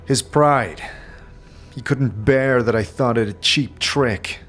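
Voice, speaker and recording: A man's voice: mid-range voice, fairly bright tone. Guy doing a tired hesitant adventurer dude, terse, understated, fantasy audio drama w music behind. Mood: frank, guarded. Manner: halting, understated.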